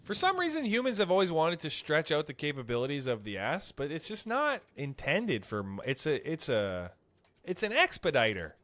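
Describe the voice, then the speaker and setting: A man's voice: on the high side, moderate pace, dry recording. A man co-hosting a podcast, chatting casually and teasing his co-host in a joking way. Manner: joking, teasing, lively delivery. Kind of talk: casual chat.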